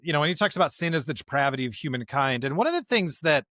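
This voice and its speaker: A man's voice: a little high, big pitch swings, very fast, bright, forceful tone, no room sound, clean studio sound. A man co-hosting a podcast with conversational theological commentary, stressing his points with asides and rhetorical questions. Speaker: podcast host.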